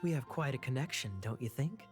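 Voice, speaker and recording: An actor playing a suave, sly young knight captain in an anime-style video game, smooth-talking and formally courteous. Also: male voice, mid-range voice, brisk, music in the background, close to an everyday voice. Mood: courteous, teasing. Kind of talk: English anime game voice acting.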